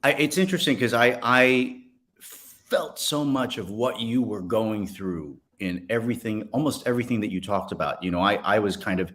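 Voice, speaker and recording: An American man hosting a casual, friendly interview, speaking haltingly and repeating words as he forms his questions. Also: fairly low pitch, a bit bright. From Ohio, United States. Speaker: interview host.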